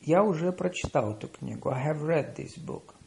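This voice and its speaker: A man reads out short phrase pairs for a language lesson, his English slow and carefully spaced after brisker Russian. His voice sits a little high and swings widely in pitch. A gravelly, creaky edge runs through it. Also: lively intonation, darkish tone, slight background noise. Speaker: language teacher. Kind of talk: reading lesson phrases with translations.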